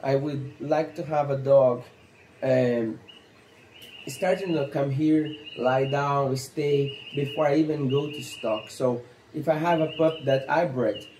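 Brazilian-accented man, thirties, mellow dark tone, halting non-native phrasing, roomy echo, faint birds outdoors. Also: medium pitch, fairly even intonation, slight background noise. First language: Portuguese. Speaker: stock dog trainer. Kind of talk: instructional talk to camera. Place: outdoor patio by a pool.

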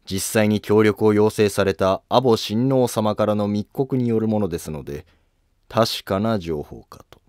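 A man playing a coolly polite, scheming Japanese court noble with a calm, formal, deliberate delivery in a history drama. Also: fairly low pitch, no room sound, clean studio sound, close to an everyday voice. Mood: cool, calculating.

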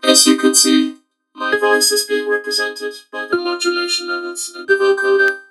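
A man's voice: moderate pace, over loud music. A man hosting a tutorial, his voice run through a vocoder into robotic musical synthesizer tones. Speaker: music software tutorial host.

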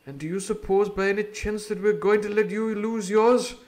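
A man voicing a stern but kindly older ship's doctor in a storybook reading, scolding in an old-fashioned gentlemanly manner. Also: high voice, steady tone, normal speed, voice pushed up, close to an everyday voice. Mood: grateful.

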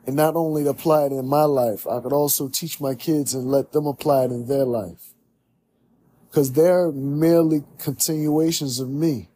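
A man's voice: on the high side, fairly even intonation, moderate pace, mellow, a little hiss or hum. A young man talking alone to a close camera in a small room, earnest and preachy, often repeating himself. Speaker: podcast host. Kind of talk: reflective monologue.

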